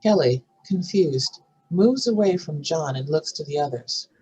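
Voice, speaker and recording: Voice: mid-range voice, animated, measured pace, mellow, low-quality recording. A narrator reading script stage directions in a scripted narration style. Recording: faint background noise.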